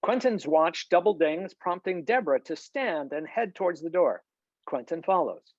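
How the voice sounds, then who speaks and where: A narrator reads screenplay stage directions at a medium pitch. The sound is close and dry, with slightly dulled video-call audio. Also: animated, normal speed, dry recording, muffled recording. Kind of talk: scripted narration, screenplay table read.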